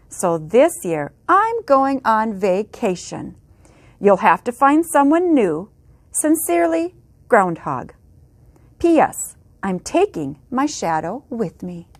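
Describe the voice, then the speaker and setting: Fed-up storybook groundhog, indignant, lively pitch, slow and dry , read by a woman. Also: no echo. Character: fed-up male groundhog wanting a break.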